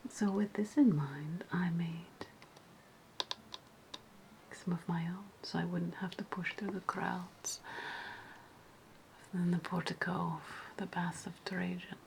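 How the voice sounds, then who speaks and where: A woman playing a sly, menacing ancient Roman witch hostess in a whispered ASMR roleplay, speaking deliberately with repeated phrases and lingering hums. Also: lowish voice, steady tone, creaky, slight background noise, poor audio. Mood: disdainful, conspiratorial.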